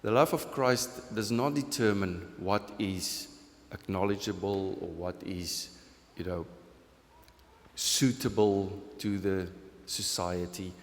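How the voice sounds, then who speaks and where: A man's voice: low voice, slow. A man from South Africa with a South African accent, a pastor giving an earnest, conversational sermon and taking questions. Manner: earnest, conversational, laughs at his own jokes. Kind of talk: sermon with open questions from the congregation. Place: church sanctuary. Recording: long hall reverb in a church.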